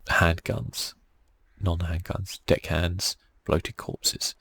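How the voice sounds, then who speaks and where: A man giving soft-spoken ASMR commentary on a video game in a murmured, laid-back way, as if thinking aloud. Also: low-pitched, level tone, very slow, vocal fry, breathy edge. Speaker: gaming channel host. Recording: faint music behind.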